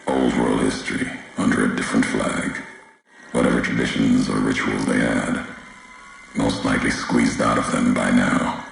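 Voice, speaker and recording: An actor playing a grim, philosophical wasteland courier in a video game, speaking in terse, cryptic, ominous fragments with a gravelly voice. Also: male voice, little pitch movement, normal speed, heavily acted. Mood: ominous, reflective. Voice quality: very deep, gravelly. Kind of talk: video game character dialogue. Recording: heavily reverberant, faint background noise.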